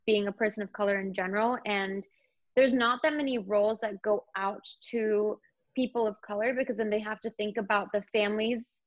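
A woman's voice: mid-range voice, fairly even intonation, brisk, no echo. A young woman in casual conversation about her acting work, talking in a loose, chatty way with lots of "like.". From India. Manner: chatty, fillers like "like". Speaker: actor guest. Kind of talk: casual chat. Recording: slightly dull, lo-fi sound.

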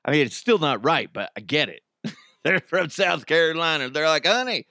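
A man hosting a comedy podcast, his voice raspy and hoarse. He rambles through the news, laughing at his own jokes. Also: fairly high pitch, expressive, moderate pace, bright, forceful tone, no echo, clean studio sound. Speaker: podcast host. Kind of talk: casual comedic commentary.

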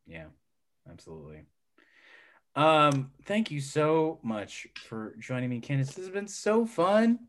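A man's voice: on the high side, big pitch swings, unhurried. A man hosting a casual podcast interview, joking along and giving many affirming mm-hmms. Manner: jokey, lots of affirming mm-hmms. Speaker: podcast host.

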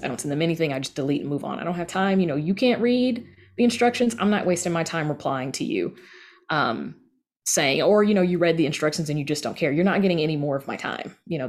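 A woman's voice: low-pitched, a little mellow. A woman giving casual, candid and emphatic answers as an interview guest on a podcast.

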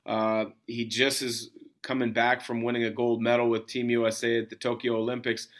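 A man's voice: medium pitch, monotone, moderate pace. A man hosting a sports podcast, chatting casually as he interviews a guest.

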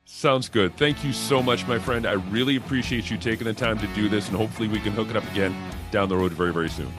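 A man's voice: on the low side, fast, music in the background. A man hosting a conversational sports podcast, repeating words as he frames his points. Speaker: podcast host.

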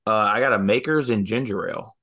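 A man from Michigan co-hosting a casual sports podcast, relaxed and easygoing in his banter. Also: lowish voice, quick pace, gravelly, husky. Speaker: podcast co-host.

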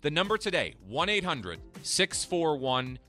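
A man hosting a retirement finance radio show, pitching a free tax analysis and explaining when to claim benefits. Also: big pitch swings, measured pace, bright, forceful tone, soft background music. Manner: persuasive sales pitch, then explanatory. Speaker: financial advisor radio host.

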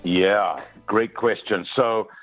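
A man's voice: fairly low pitch, steady tone, slow, faint music behind. Man on a tinny phone line. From South Africa. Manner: earnest, many "uh" fillers, addresses the host by name. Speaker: guest, motivational speaker. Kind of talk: radio interview, personal storytelling.

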